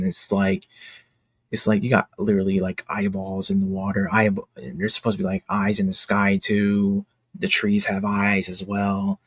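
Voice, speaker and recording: A man giving casual behind-the-scenes commentary as an indie creator, talking in a halting, rambling, enthusiastic way. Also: fairly low pitch, gravelly, slightly rough, dry recording. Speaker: indie comic creator and video host.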